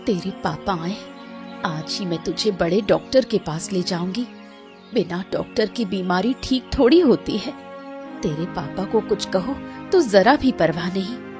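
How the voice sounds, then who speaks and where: A woman's voice: mid-range voice, lively intonation, unhurried, over music, close to an everyday voice. A woman acting a worried, nagging, protective mother in a Hindi cartoon moral story. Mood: annoyed, protective.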